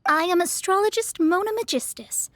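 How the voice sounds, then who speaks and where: A woman's voice: very high voice, moderate pace, a bit bright. A woman playing a proud young female astrologist in a video game, haughty and formal with precise diction, with music behind her. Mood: proud, imperious. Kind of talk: video game character acting.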